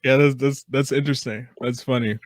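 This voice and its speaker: A man's voice: mid-range voice, steady tone, a little fast, dry recording. A young adult man hosting a casual interview, affirming and reflective, feeling his way into questions. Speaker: podcast host, interviewer.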